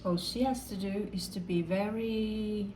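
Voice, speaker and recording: Slow-paced Norwegian woman reading tarot. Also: medium pitch, fairly even intonation, mellow, a little hiss or hum, poor audio. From Norway. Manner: halting, pausing, repeats phrases. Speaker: tarot reader.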